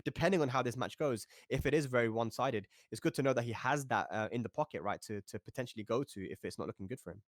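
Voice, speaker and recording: A man's voice: medium pitch, fast talker, hardly any pauses, no echo, clean studio sound. A man giving chatty, enthusiastic live commentary on a fighting-game tournament, often laughing along. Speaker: esports commentator.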